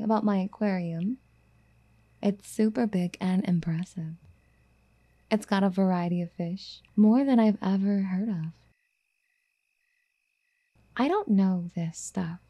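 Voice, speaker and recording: A woman playing a doting, possessive rich girlfriend in an ASMR roleplay, soft-spoken and coaxing, often slipping into whispers. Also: medium pitch, measured pace, long pauses, breathy edge. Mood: boastful.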